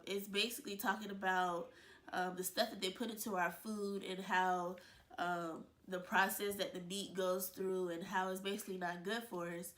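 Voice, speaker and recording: A woman's voice: fairly low pitch, a bit bright. A woman with a stuffed-up, congested voice, hosting her own video channel in a casual, chatty way with lots of fillers and restarts. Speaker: vlogger, channel host. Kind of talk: casual chat to camera.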